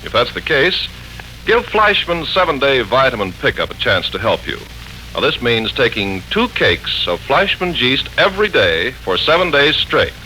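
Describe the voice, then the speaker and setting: A man's voice: medium pitch, big pitch swings, moderate pace, sharp tone, a little hiss or hum, poor audio. An American man announcing in 1940s radio style, polished, persuasive and emphatic. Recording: old 1940s radio broadcast recording.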